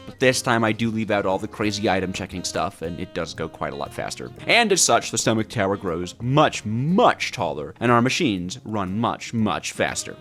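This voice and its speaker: Guy narrating a gaming video, really animated and dramatic, comic exasperation, faint music under him. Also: lowish voice. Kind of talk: scripted gameplay story narration.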